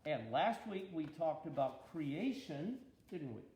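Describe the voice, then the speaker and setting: An older man reading a children's storybook aloud in an expressive, grandfatherly way, with deliberate pauses and chatty asides. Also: on the high side, a little hiss or hum. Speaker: bishop reading Bible stories to his granddaughter. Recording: echoey room.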